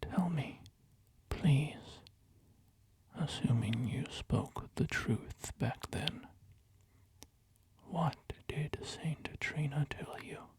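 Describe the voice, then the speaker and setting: Guy whispering a pleading, jealous dreamer who's hooked on a saint's poison, slow, gravelly hush. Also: on the high side, lively intonation, pitched above the performer's own voice, full character voice. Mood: despairing. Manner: hushed whisper throughout.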